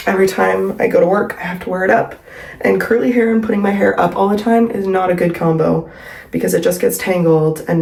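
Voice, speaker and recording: A young woman recording a casual vlog at home, her voice stuffy and congested from a cold. She speaks low for a woman, with a gravelly fry running through her chatty, filler-heavy talk. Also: darkish tone, poor audio. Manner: chatty, rambling, frequent "like" and "um". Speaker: vlogger and pilates instructor.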